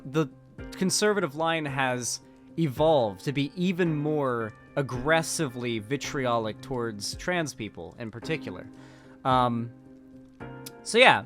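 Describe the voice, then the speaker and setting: A young man in casual streamed political commentary, rambling and sarcastic, full of fillers and restarts. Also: mid-range voice.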